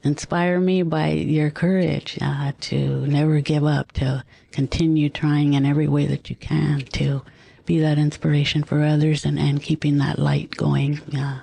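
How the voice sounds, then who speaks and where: A woman co-hosting a podcast, giving a slow, respectful introduction with gentle, measured phrasing and the odd Spanish word mixed in. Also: deep voice, little pitch movement. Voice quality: gravelly, husky. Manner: drops Spanish words into English. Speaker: podcast co-host.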